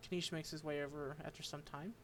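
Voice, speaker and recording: A man streaming a game, reading its dialogue aloud and commenting, halting and stumbling over unfamiliar names. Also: a little high, level tone, a bit bright, slight background noise.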